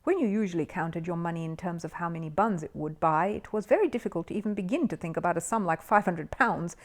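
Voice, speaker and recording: A woman reading a children's story aloud as an expressive narrator. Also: fairly low pitch, quick pace.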